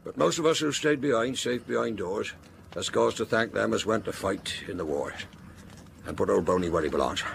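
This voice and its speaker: Gravelly country innkeeper. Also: male voice, on the low side, normal speed, noisy background. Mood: kindly. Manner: archaic rustic speech, chuckling. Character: genial, shrewd country innkeeper living alone. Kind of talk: radio drama acting.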